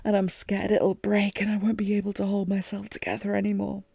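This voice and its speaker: A woman playing a lovesick warrior queen, regal yet fragile, in an intimate fantasy audio drama with formal, emotive, halting delivery. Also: medium pitch, monotone, gravelly, warm tone, slightly rough, no echo. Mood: fearful, vulnerable. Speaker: audio roleplay performer.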